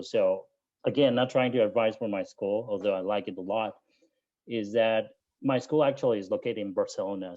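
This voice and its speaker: A man in his thirties from Taiwan with a Taiwanese accent, speaking English as a second language in a casual interview and pausing often to find his words. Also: fairly low pitch, darkish tone, dull audio. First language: not English. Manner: thoughtful, with frequent fillers and restarts. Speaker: guest, MBA alumnus.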